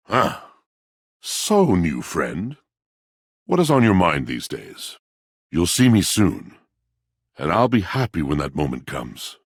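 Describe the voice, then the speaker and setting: A grand old-fashioned poetic appliance for a game, super slow n husky, swoops up and down, very theatrical n formal. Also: male voice, no echo, studio quality, heavily acted. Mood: delighted, flustered. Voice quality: gravelly, deep. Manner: theatrical, florid, formal old-fashioned diction, savoring words. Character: grandiloquent, melancholy, poetic washing machine with courtly old-world manners. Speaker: video game voice actor. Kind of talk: theatrical video game character acting.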